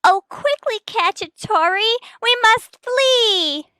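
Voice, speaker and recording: A woman's voice: very high voice, expressive, bright, forceful tone, dry recording, clean studio sound, exaggerated voice. A woman playing a ditzy, man-chasing tavern cashier hamming it up theatrically in an old-time radio comedy. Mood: melodramatic. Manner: theatrical, drawn-out, melodramatic.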